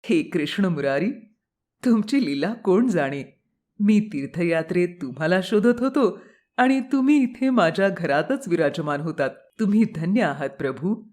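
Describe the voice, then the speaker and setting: A woman's voice: fairly low pitch, expressive, a little slow, darkish tone, pitched below the performer's own voice. A woman voicing a devout, loving father with a reverent, affectionate way of speaking. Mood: reverent, proud. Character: devout, proud, loving father of a grown girl.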